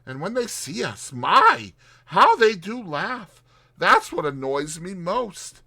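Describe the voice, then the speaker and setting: A man's voice: high voice, very animated, slow, bright, forceful tone, voice pushed up, full character voice. Man doing an eager, homely storybook mole. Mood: grumpy. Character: eager, good-hearted, grumbling male mole of storybook.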